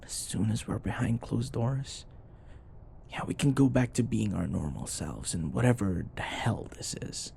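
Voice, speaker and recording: A smug, flirtatious male office rival played by a man in an intimate ASMR roleplay. His delivery is unhurried and very animated in pitch, teasing and sometimes murmured, with faint noise behind it. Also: vocal fry, exaggerated voice. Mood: grudging, conciliatory.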